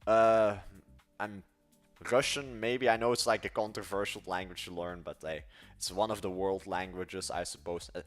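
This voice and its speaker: A man's voice: deep voice, fairly even intonation, moderate pace, soft background music. A young man with a Dutch accent chatting casually on a livestream, rambling and correcting himself as he goes. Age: young adult. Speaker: livestream host.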